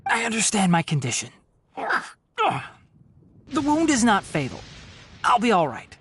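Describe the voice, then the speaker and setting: A woman voicing a wounded, stubborn young male forest healer in a video game, husky and weary. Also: medium pitch, big pitch swings, measured pace, a little hiss or hum. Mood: strained, stubborn. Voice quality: breathy, husky. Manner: weary, sighing. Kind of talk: video game character acting.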